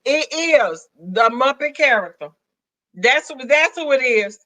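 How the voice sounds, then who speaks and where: A woman's voice: sits high, animated, a little slow, bright, forceful tone, no echo. A woman with a Southern US accent, hosting a live stream with sassy, teasing banter. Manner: sassy, teasing, calls others "girl" and "child".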